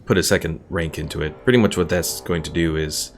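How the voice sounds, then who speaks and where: A man's voice: deep voice, brisk, slight background noise. A man doing casual live gameplay commentary, thinking aloud and explaining how things work. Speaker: video game streamer. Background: game music.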